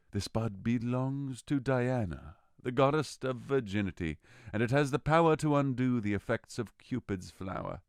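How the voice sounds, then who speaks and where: Man voicing fairy king, audio drama, commanding, theatrical, very animated pitch, dry sound. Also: clear, resonant tone, no echo. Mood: gentle, solemn. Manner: theatrical, expressive delivery. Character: commanding fairy king, scornful yet relenting. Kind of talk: modernized Shakespeare audio drama acting. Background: music.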